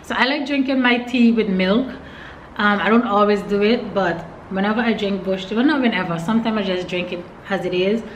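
A woman's voice: mid-range voice, a little fast, reverberant, a little hiss or hum, rough recording. A young woman vlogger chatting casually and informally to her viewers. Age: young adult. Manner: informal, addressing viewers as y'all. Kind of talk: casual vlog chat to camera.

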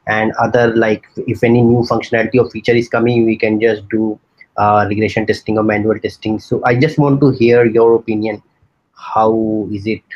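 A man's voice: lowish voice, flat delivery, normal speed, darkish tone, dry recording. A man in the audience informally asking a question over a dull online call connection.

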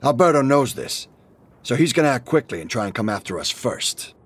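Game mob boss, older male: a calm, commanding figure, husky mid-pitch voice. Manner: authoritative, measured. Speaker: crime boss.

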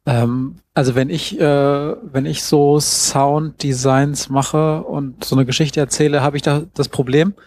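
A man's voice: fairly high pitch, steady tone, measured pace, few pauses, a little mellow. A man in the audience informally setting up a question from his own experience. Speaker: audience member asking a question.

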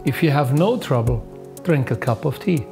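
A man in his fifties with a German accent, talking calmly and reflectively about tea in a documentary. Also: mid-range voice, over music. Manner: calm, unhurried, reflective. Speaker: tea master. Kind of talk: documentary narration and on-location talk.

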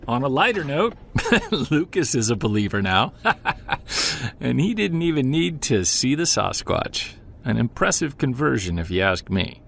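A man's voice: mid-range voice, big pitch swings, unhurried, vocal fry, a bit bright, a little hiss or hum, full character voice. An actor playing a weary, stressed male investigator confiding in an audio log, hesitant and downbeat, as in an audio drama. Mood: wry. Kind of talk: audio drama, confessional narration.

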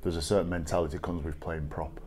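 Low, mellow-voiced English coach. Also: male voice, little pitch movement, slight background noise. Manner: measured, halting, with frequent fillers and restarts. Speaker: rugby league head coach. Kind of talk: post-match press conference answers. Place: small press room with sponsor backdrop, seated at table. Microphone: table microphone.

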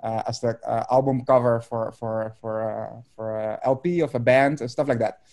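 A Dutch man in his thirties speaks English over a video call from a room at home. His voice is mellow and dark, and he talks haltingly, filling gaps with ums and restarting phrases. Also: medium pitch, steady tone. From Netherlands. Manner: hesitant, many fillers and restarts. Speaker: artist guest.

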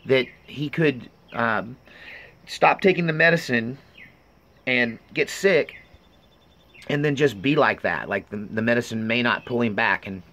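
A middle-aged man giving an unscripted personal update in a halting, earnest, confiding way. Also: medium pitch, pushed and bright. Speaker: vlogger.

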